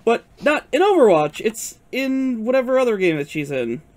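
A man's voice: very high voice, big pitch swings, moderate pace, darkish tone. A man who stutters, chatting casually and profanely during a live art stream, rambling and self-deprecating.

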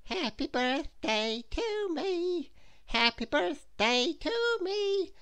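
Scatterbrained storybook miss, acted by a woman: light high pitch, slow, breezy, cheerfully dithering. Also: huge pitch swings, creaky, a bit bright, full character voice. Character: cheerful, dreamy, scatterbrained little girlish miss.

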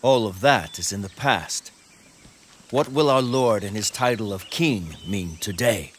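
An actor playing a loyal, earnest young warrior in a video game cutscene, speaking in a solemn, formal, declamatory way. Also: male voice, lowish voice, unhurried, slight background noise, lightly acted. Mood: earnest, reflective. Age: young adult. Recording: background music under dialogue.

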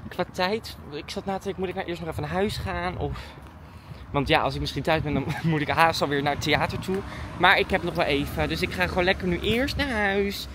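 A woman's voice: on the low side, brisk, background noise. A woman chatting casually and informally to her vlog audience, thinking aloud as she goes. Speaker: daily vlogger and dancer.

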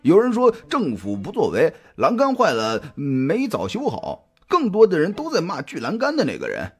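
A man playing a jovial, chatty private detective in an audio drama, talking colloquially with drawn-out hesitations in a husky voice. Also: a little high, huge pitch swings, darkish tone, heavily acted. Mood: gossipy. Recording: music behind the voice.

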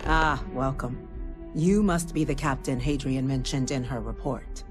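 A composed stateswoman in a sci-fi video game, presiding formally. Her voice sits quite low for a woman, and she words things deliberately. Faint music plays behind her. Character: formal, composed female president chairing a cabinet.

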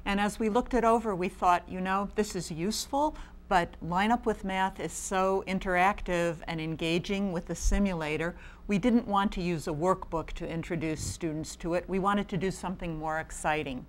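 A middle-aged American woman presents educational material in a lowish voice. She speaks in an explanatory way to camera, close-miked on a lapel indoors. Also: steady tone, normal speed. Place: indoor studio or room beside a screen.

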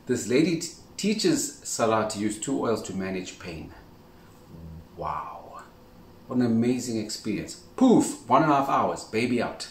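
Earnest man teaching in an echoey kitchen. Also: on the low side. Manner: earnest, keeps adding "right?", gestures with his hands. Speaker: faith teacher. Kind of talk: casual religious teaching to camera. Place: indoors in a home kitchen with a large window. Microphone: camera at a few steps' distance. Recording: roomy, distant sound with faint hiss.

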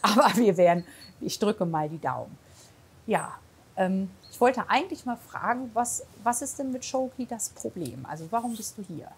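A woman's voice: medium pitch, animated, moderate pace, husky. A woman talking casually and informally as a chatty host, chatting to the camera and with clients. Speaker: horse physiotherapist, host.

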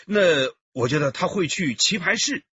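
An actor playing a polite, eager police detective, deferential and questioning, in a radio drama. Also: male voice, on the high side, animated, moderate pace, bright, forceful tone, lo-fi sound, a little hiss or hum. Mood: earnest.

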